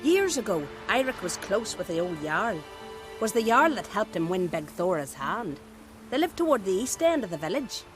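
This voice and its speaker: Woman as chatty innkeeper, animated voice, game score. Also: medium pitch, normal speed, music in the background, lightly acted. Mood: folksy. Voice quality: folksy, chatty. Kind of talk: video game dialogue.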